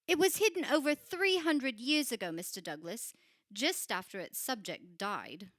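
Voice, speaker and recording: A woman acting in a radio play as a grave, knowing churchwoman, telling of dark things in a measured, dramatic way. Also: on the high side, lively intonation, no room sound, clean studio sound. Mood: ominous. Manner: measured, solemn, dramatic storytelling.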